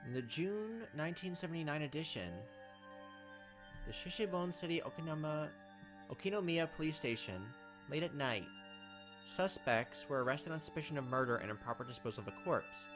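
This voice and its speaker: Game host, mid-pitched voice, narrates story text with casual asides over faint music. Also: animated, brisk. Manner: reads aloud and drops in offhand asides. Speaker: let's play host reading a visual novel aloud.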